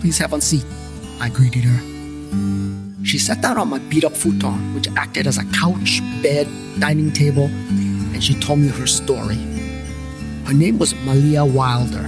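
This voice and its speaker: A man's voice: mid-range voice, unhurried, pauses often, natural voice. A man playing a wisecracking private paranormal investigator, narrating his own story in a wry, colloquial, self-mocking way. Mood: wry, storytelling. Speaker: narrator and lead character. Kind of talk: scripted first-person noir-comedy narration. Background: guitar music.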